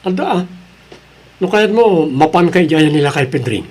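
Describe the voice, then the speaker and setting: An easygoing, supportive male friend in an Ilocano radio drama. His voice is notably high and rough, with an Ilocano Filipino accent. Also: expressive, normal speed. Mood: helpful. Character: helpful male friend of the husband, easygoing adviser.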